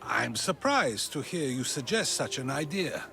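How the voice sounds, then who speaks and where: A probing old philosopher. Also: male voice, mid-range voice, big pitch swings, gravelly, bright, forceful tone, full character voice. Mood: urgent. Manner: measured, questioning. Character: older male philosopher, calm, probing questioner.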